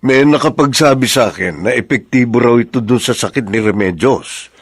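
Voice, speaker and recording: Humble, earnest Filipino farmhand. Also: male voice, mid-range voice, moderate pace, slightly rough. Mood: earnest, modest. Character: humble, devoted middle-aged bachelor farmhand, quietly caring. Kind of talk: Tagalog radio drama acting.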